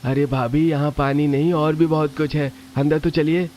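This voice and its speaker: A man's voice: on the high side, level tone, normal speed, a little mellow, dull audio, slight background noise, pitched above the performer's own voice, close to an everyday voice. A man voicing a helpful young husband in a cartoon family story. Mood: welcoming.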